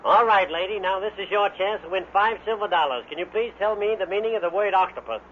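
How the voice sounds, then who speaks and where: A man playing a brisk, reassuring quiz-show host on old-time radio. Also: sits high. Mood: upbeat. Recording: tinny, noisy old radio, loud audience.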